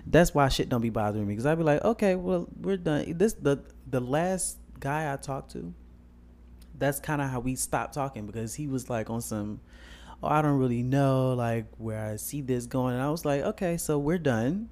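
A man's voice: mid-range voice, normal speed, a little mellow. A man, talking bluntly in casual chat, swearing and laughing. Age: 20s.

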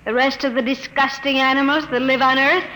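A scared proud young woman, high voice, tense, noisy old radio play. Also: little pitch movement, fairly quick, sharp tone. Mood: hurt. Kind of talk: 1950s radio drama acting. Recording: old radio broadcast with noise.

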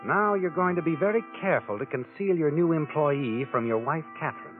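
An actor playing a sardonic, all-knowing narrator who taunts the guilty in an American 1940s radio crime drama. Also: male voice, a little high, expressive, brisk, natural voice. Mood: sly, taunting. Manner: knowing, taunting, speaks directly to the character. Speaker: host and narrator. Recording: tinny old radio broadcast, music behind.

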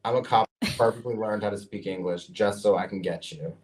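A man's voice: fairly low pitch, fairly quick, a little mellow. A young man from Ukraine with an American accent, telling stories loosely with lots of "like" on a casual podcast. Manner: storytelling with lots of "like" and quoted dialogue. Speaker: podcast co-host. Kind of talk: casual chat.

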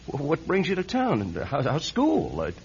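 A man's voice: a little high, wildly swooping pitch, normal speed, a little mellow. An actor playing a vain, womanizing male celebrity with oily charm and a stammer, in a radio drama. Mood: flustered, awkward. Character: smooth, vain, womanizing celebrity man, flustered when cornered. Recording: dull radio recording with faint noise.

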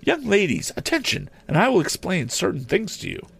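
A man's voice: sits high, wildly swooping pitch, brisk, creaky, a bit bright, slightly rough, no room sound, pitched above the performer's own voice, heavily acted. A man voicing a cheerful, keen young woman schoolteacher with a gushing, lecturing manner. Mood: excited.

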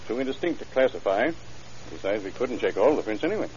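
An actor playing a matter-of-fact male police detective in an old radio drama, laying out facts in a dry, deliberate way. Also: mid-range voice, expressive, a little mellow, close to an everyday voice. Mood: businesslike. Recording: muffled, hissy old radio recording.

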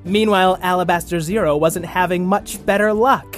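A man with a creaky voice and an excitable, theatrical manner, hosting and acting out an improvised adventure story for children. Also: high-pitched, normal speed, soft background music. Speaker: host and storyteller. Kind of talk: improvised kids' adventure story, narrated and acted.

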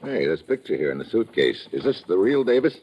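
An actor playing a tough, wisecracking government agent in an old radio drama, narrating and talking in clipped slang. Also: male voice, fairly low pitch, big pitch swings, fairly quick, highs cut off, a little hiss or hum. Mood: probing. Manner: hard-boiled, clipped, slangy. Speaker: lead actor and first-person narrator. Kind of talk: 1940s-50s radio drama acting.

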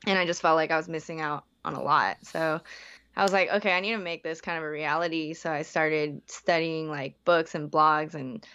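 Chatty young woman, low muffled voice. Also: fairly even intonation, moderate pace, fairly bright tone, clean tone. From San Francisco Bay Area. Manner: chatty, heavy use of "like", frequent self-restarts. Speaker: guest. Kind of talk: casual interview.

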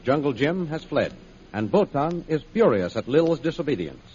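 Dramatic high-pitched male old-radio announcer. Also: very animated, moderate pace, a little mellow, slight background noise. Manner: dramatic, declamatory. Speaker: announcer and narrator. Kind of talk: 1930s radio serial announcing, scripted narration. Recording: muffled old radio recording. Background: music.

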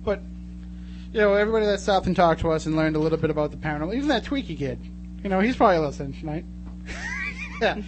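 A man hosts casual live talk radio in a fairly high voice. He talks fast and chattily, with a joking streak. There is clear noise and hum behind him. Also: wildly swooping pitch. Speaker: radio show host.

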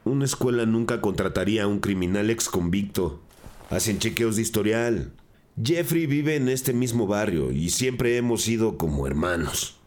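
An actor playing a cornered gang drug dealer in a crime audio drama, defensive, earnest and pleading. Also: male voice, on the low side, lively intonation, normal speed, a little mellow, natural voice. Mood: desperate, earnest.

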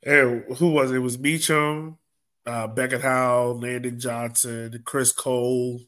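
A man's voice: mid-range voice, little pitch movement, slow, fairly bright tone. A man hosting a sports podcast, talking casually through soccer match analysis in a conversational way.

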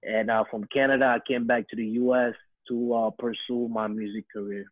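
A man's voice: medium pitch, level tone, brisk. A young man from Senegal talks about his music career. He speaks hesitantly, with lots of "uh" and "you know" as he thinks. His voice comes through a narrow, phone-like remote line with no room echo. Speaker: music producer, guest. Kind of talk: relaxed interview answers.

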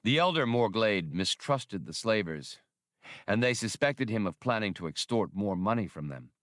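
A man's voice: on the low side, very animated, moderate pace, fairly bright tone, dry recording, studio quality. A man voicing a suave, mustached veteran gunman in an audiobook, speaking in a polished, coolly amused manner. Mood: smooth, explaining.

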